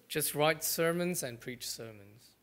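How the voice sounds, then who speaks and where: A male pastor with a Malaysian accent delivers a sermon in short, earnest phrases, pausing after each one. His voice sits a little high and sounds bright and forward. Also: animated. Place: church.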